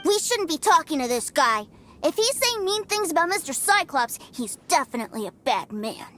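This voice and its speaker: A woman's voice: high voice, sing-song, moderate pace, sharp tone, exaggerated voice. A woman voicing an eager toy-loving little boy. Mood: indignant. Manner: eager, pouty and defensive. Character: excitable young boy who loves toys. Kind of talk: game cutscene voice acting.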